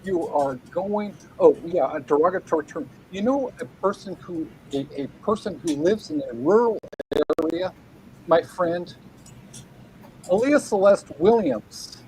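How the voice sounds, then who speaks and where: A middle-aged man with a notably high voice delivers a furious, profanity-laced rant over a video call. He repeats phrases and trips into restarts, leaving a faint hum in the background. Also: animated, measured pace, mellow, low-quality recording. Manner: angry, profane, repeats himself, stumbling restarts. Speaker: guest writer. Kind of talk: angry livestream rant.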